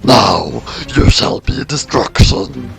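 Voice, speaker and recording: A man voicing an evil, gloating villain in a slow, menacing growl for a story reading. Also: medium pitch, animated, fairly bright tone, full character voice. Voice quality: gravelly growl. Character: evil, gloating male villain with laser powers. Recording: music playing behind the voice.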